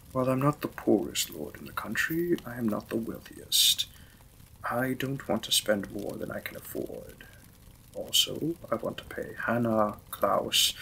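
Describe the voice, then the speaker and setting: A man playing a gentle, apologetic Victorian vampire lord in a romantic audio roleplay, speaking formally and tenderly as a courteous new husband. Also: medium pitch, creaky, slight background noise, poor audio.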